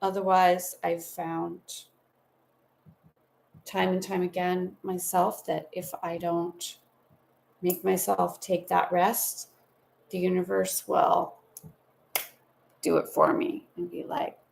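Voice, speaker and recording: Slow, gravelly-voiced woman reading tarot. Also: fairly low pitch, little pitch movement, a little mellow, slight background noise. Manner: soothing, meandering, spiritual talk with affectionate asides. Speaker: tarot reader. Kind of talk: live-streamed tarot reading, casual.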